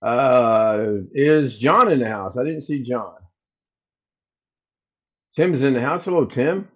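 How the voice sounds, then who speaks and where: A man with a booming voice hosts a relaxed livestream, rambling to his viewers as he works out technical problems on the fly. His voice sits at an ordinary middle pitch, and the sound is a little dull and muffled. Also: slow. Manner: rambling, thinks aloud while fixing tech. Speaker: livestream host. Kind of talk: casual live chat with viewers.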